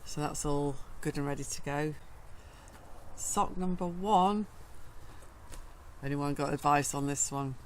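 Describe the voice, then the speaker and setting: A woman vlogging casually from her garden greenhouse, her voice croaky after a cold, chatting in a rambling way with frequent ums. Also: low voice, slow, long pauses, darkish tone, a little hiss or hum. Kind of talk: casual gardening vlog chat.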